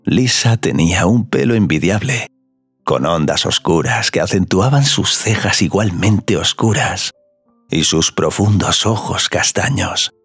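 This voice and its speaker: A man narrating scripted audio fiction in a slow, sensual storytelling manner. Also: low-pitched, expressive, fairly bright tone, husky, no echo, faint music behind.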